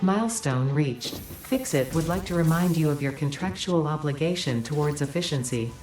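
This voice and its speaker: A woman's voice: deep voice, animated, moderate pace, music in the background. A woman voicing a strict female corporate AI assistant that makes clipped announcements in a game. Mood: stern. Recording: roomy, distant in-game audio.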